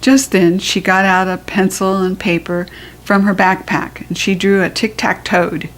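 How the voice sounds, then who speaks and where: An American woman reads a children's story aloud. Her pitch is average, and her delivery is expressive, playful and childlike. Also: measured pace, rough recording. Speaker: storybook reader.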